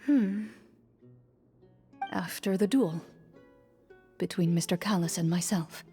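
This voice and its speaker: A woman playing a reserved young swordswoman in a video game, speaking in a calm, measured and serious manner. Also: fairly low pitch, in a large hall, faint music behind. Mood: calm, candid. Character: composed, reserved young swordswoman narrating a tabletop adventure. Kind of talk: restrained video game acting.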